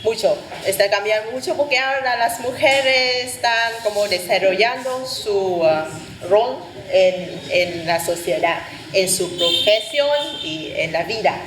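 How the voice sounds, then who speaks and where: A woman from Vietnam talks slowly and hesitantly, her native Vietnamese shaping her Spanish as she pauses on "ah" to find words. She answers interview questions in a relaxed, conversational way. The room is echoey with steady background noise. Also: mid-range voice. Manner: hesitant, searching for words with frequent "ah" fillers. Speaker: interview guest. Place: Barcelona.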